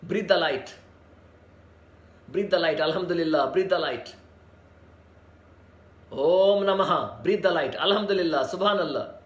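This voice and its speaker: A man leading a guided spiritual meditation, speaking slowly and soothingly and repeating chants and mantras. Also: fairly high pitch. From India. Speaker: meditation leader. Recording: echoey room.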